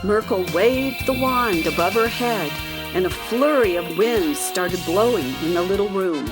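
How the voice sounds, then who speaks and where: A woman's voice: medium pitch, expressive, measured pace. A woman narrating a scripted children's story. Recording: music playing behind.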